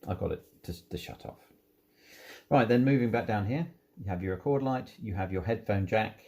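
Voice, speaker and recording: Male presenter for an informal equipment walkthrough: creaky, soft-edged tone, unhurried step-by-step delivery. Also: fairly low pitch. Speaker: presenter demonstrating audio equipment. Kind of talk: informal hands-on product walkthrough.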